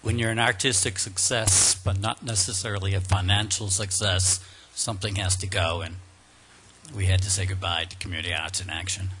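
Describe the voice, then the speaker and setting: A man with a fairly low voice answers a town board's questions in an earnest, polite way. There is faint noise in the room recording. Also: steady tone, fairly quick, pushed and bright. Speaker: applicant at a board interview. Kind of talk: answering questions at a public meeting.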